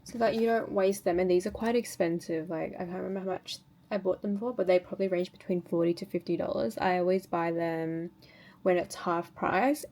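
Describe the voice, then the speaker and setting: Woman, beauty vlogger, mid-pitched voice, casual tutorial chat, informal step-by-step narration, slight background noise. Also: mellow. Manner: informal, chatty, self-narrating each step. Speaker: beauty vlogger hosting a routine video.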